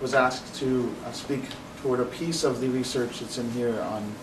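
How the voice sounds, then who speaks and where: A man presenting research at a conference panel, steady and explanatory with fillers. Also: mid-range voice, moderate pace, noisy background. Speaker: residential education staff presenter. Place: classroom. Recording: echoey classroom, distant mic.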